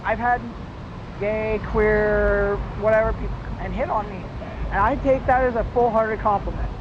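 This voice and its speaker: A man's voice: high voice, fairly even intonation, normal speed. A young American man chatting casually and earnestly in a rambling way, with heavy swearing. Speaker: livestreamer. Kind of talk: casual street chat. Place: outdoors in a city at night. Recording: muffled, noisy outdoor livestream audio.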